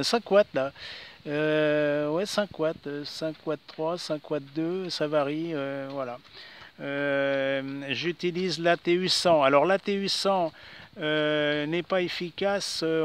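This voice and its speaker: A man chatting casually as an amateur radio operator, halting and repeating himself. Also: on the high side, a bit bright, smooth. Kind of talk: casual ham radio chat. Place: outdoors in a wooded park.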